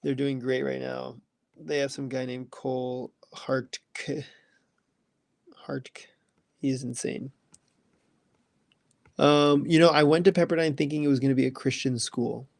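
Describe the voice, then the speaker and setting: Young man in his twenties, gravelly low-key voice, rambling casual chat host, dry close mic. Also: fairly even intonation, a little slow, long pauses, darkish tone, dry recording. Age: 20s. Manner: loose, rambling, filler-heavy. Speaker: livestream host answering chat.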